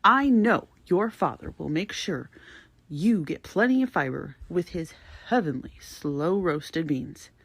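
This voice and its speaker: A prim, matronly lady played by a woman reads her letter in a husky voice with widely swooping intonation. She is fussy, emphatic and easily scandalized, with old-fashioned, theatrical diction in a dramatic audio letter. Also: lowish voice, a bit bright, no echo, full character voice. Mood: fussing concern.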